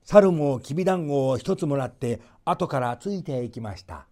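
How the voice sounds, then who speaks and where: A man's voice: medium pitch, animated, normal speed, warm tone. A man narrating a folk tale as a storyteller, in a theatrical scripted reading.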